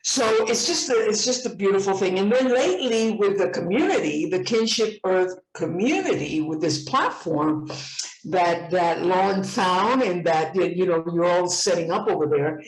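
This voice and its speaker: A woman's voice: lowish voice, moderate pace. Enthusiastic woman in her seventies, roomy sound. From Puerto Rico. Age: 70s. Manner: enthusiastic, repeats words when excited. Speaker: participant. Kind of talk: casual video-call chat.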